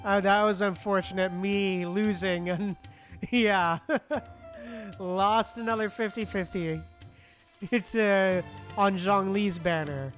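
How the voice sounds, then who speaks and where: A woman's voice: medium pitch, steady tone, slow pace. A woman live-streaming a game, reacting and chatting casually with interjections. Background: game music.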